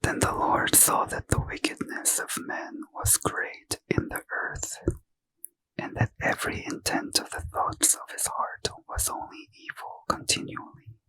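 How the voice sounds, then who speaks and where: A man reading scripture aloud in a soft, unvoiced ASMR whisper as a bedtime story. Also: unhurried, poor audio.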